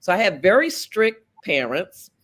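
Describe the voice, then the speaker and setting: A woman in her fifties from South Florida, running for office and answering an interviewer. She speaks earnestly and deliberately, with measured pauses between her thoughts. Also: on the low side, big pitch swings. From South Florida, USA. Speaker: political candidate.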